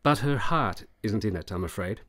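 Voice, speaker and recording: An actor playing an earnest, persuasive military doctor in a period radio drama, formal and deliberate. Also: male voice, fairly low pitch, expressive, a bit bright. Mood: grave, confiding. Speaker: supporting actor.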